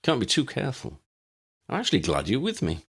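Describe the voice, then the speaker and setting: A man's voice: mid-range voice, wildly swooping pitch, fairly quick, breathy edge, pitched above the performer's own voice, full character voice. A man playing a wry young male accomplice. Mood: wry, admitting.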